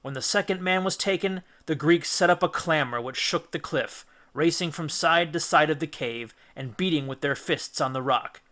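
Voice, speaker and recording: A man reading a children's classic as a storyteller, with expressive, deliberate, dramatic narration. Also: fairly high pitch. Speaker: audiobook reader, storyteller.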